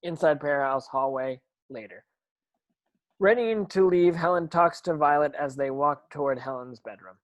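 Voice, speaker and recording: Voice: medium pitch, normal speed, dry recording. A narrator reading screenplay stage directions in an online table read, now and then stumbling and repeating words.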